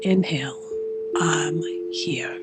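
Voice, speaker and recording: A woman guides a meditation at a very slow, unhurried pace. Her voice is soft and soothing, turning breathy at times, and she leaves long spaces between short phrases. Music plays clearly behind her. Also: deep voice.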